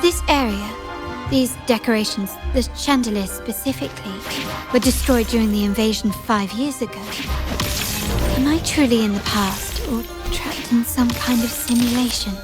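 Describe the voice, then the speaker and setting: Actress doing young noble lady detective in a game, highish voice, formal n careful, music behind her. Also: natural voice. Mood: pensive, resolute. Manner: measured, deliberate, formal diction. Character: poised young noblewoman investigator of a mafia family, wary and resolute. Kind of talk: video game voice acting, inner monologue.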